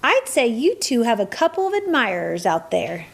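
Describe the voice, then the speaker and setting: A woman's voice: on the high side, sing-song, moderate pace, creaky. A woman playing a supportive mother who produces her daughters' podcast in a radio play. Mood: reassuring. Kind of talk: scripted radio play acting.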